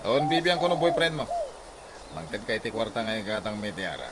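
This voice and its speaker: An actor playing a pushy, taunting street loafer who bullies for money in an Ilocano radio comedy drama. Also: male voice, on the low side, big pitch swings, a little fast, noisy background. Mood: menacing, taunting. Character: bullying male street loafer demanding money.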